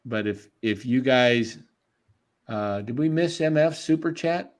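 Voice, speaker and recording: A man's voice: mid-range voice, lively intonation, unhurried. A man hosting a casual live-stream sports chat, talking to viewers and reading the chat aloud. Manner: chatty, reads chat aloud, laughs easily.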